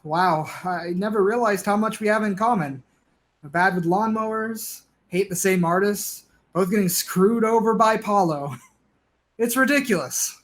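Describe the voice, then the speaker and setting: Male actor as hard-up neighbor, stage play, high voice, wry, colloquial, conciliatory. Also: measured pace. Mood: amused, friendly. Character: down-on-his-luck bickering male neighbor, wry but conciliatory.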